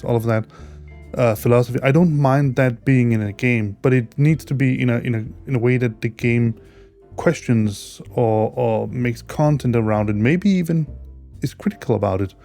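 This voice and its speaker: A Danish-accented man talks to his viewers in a soft, mellow tone, weighing each word and often starting his sentences over. He sounds a little tired, as if it were very early in the morning. Quiet music plays faintly behind him. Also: medium pitch. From Denmark. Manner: hesitant, many restarts and fillers, careful wording. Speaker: channel host. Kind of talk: casual solo commentary vlog.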